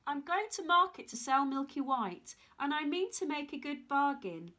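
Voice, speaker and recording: A woman's voice: high voice, lively intonation, moderate pace, sharp tone, pitched above the performer's own voice. A woman voicing a cheerful, cocky country boy in a storybook reading. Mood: polite, eager.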